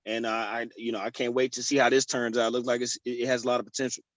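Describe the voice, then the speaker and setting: A man's voice: mid-range voice, level tone, fast, no echo. An actor from Houston, Texas, easygoing and joking as he introduces himself on a video call. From Houston, Texas, USA. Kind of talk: casual video call chat.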